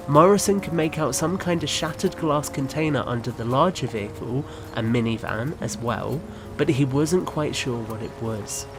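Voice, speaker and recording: Male narrator over prominent music. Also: medium pitch. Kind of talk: scripted story narration for audio drama.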